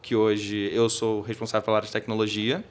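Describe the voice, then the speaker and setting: A man's voice: on the low side, completely flat delivery, a little fast, smooth. A Brazilian man, a guest on a podcast, explaining in a casual conversational way with many fillers, recorded in a podcast studio. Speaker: podcast guest, tech executive.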